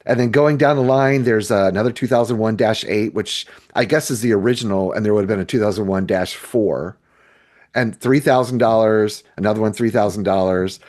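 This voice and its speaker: Low-pitched middle-aged American man chatting. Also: brisk, darkish tone. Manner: informal, conversational, thinking aloud while browsing listings. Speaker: podcast co-host. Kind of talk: casual discussion.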